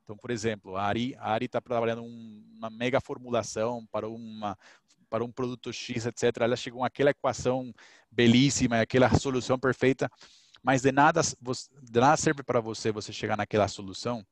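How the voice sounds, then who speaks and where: A man's voice: mid-range voice, steady tone, fast talker, dry recording. A man in his thirties, an engineer, talking through a headset on a video call panel, rambling with many restarts. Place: indoors, home office with shelves.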